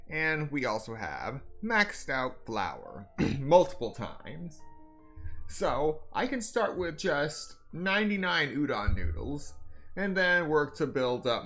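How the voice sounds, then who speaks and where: A man giving live game stream commentary in a deliberate, halting way. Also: medium pitch, pushed and bright. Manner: deliberate, halting phrasing with frequent throat clearing. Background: faint game music.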